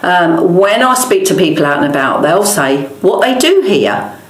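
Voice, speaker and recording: A middle-aged woman answers interview questions in a low-pitched voice. She is opinionated and emphatic, piling up points in long conversational runs. The room around her adds audible echo. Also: sing-song, moderate pace. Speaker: local campaigner and interviewee.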